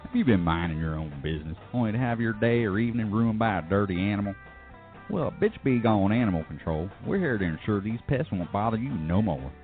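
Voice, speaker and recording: A man voicing a slick announcer in a mock commercial, with a smooth sales pitch. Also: expressive, brisk. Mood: salesy. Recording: tinny phone-like sound with faint music.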